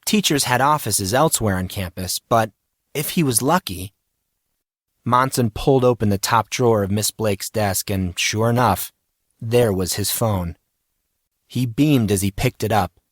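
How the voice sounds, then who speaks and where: A man's voice: fairly low pitch, no room sound. Man narrating a story, dry sound. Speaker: audiobook narrator. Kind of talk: scripted dramatic story narration.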